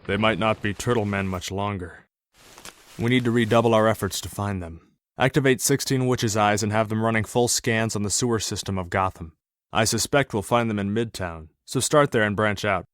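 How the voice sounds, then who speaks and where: A man's voice: fairly low pitch, normal speed, no room sound, clean studio sound, lightly acted. A man playing a grim, commanding masked vigilante in an audio drama, terse and businesslike. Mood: grim focus.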